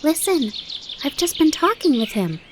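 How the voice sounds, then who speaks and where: Storybook fawn, young boy, high and bright, breathless with wonder, read by a woman. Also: very animated, normal speed, noisy background. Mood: excited. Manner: breathless, wide-eyed questions. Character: eager young fawn boy, curious and excitable.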